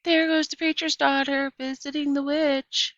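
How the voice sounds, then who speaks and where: A woman's voice: high voice, little pitch movement, moderate pace, a bit bright, no echo, lo-fi sound, voice pushed up. Woman reading as a bratty, taunting young boy. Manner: hollering, taunting. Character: taunting, tattling young boy from small Southern town.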